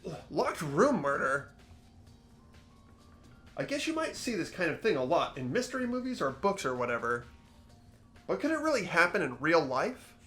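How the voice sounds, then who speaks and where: A man plays an earnest, ordinary teenage schoolboy in a mystery visual novel. His highish voice rises and falls a lot as he questions and puzzles things out uncertainly, with game music behind him. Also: sing-song, normal speed, pauses often, a bit bright. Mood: puzzled, curious. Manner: questioning, hesitant, thinking aloud.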